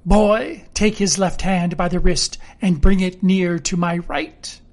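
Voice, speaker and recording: A woman's voice: on the low side, slow pace, darkish tone. A woman voicing a sinister old blind beggar man from a storybook, cruel and commanding, shifting between sing-song wheedling and sneering orders.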